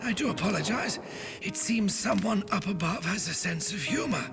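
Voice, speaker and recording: An actor playing a polite, apologetic household servant in a video game, formal and measured. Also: male voice, high-pitched, animated, vocal fry, over music.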